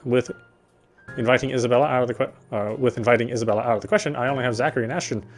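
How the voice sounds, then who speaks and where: A man's voice: medium pitch, very fast, faint music behind. A man reading visual novel narration aloud, sometimes stumbling and rereading phrases.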